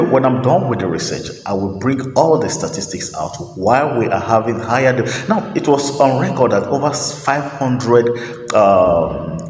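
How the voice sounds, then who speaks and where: A man's voice: on the low side, darkish tone, slight background noise. Man preaching in an echoey room. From Nigeria. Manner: preachy, emphatic, repeats phrases, many fillers. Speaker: host. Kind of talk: opinionated monologue. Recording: roomy echo with long reverb, faint ambience.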